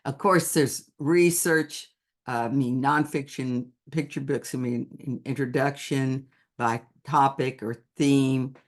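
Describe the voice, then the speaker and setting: Slow, thoughtful woman explaining to teachers. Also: extremely low pitch, fairly even intonation. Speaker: picture book author presenting to teachers. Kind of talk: online presentation to educators.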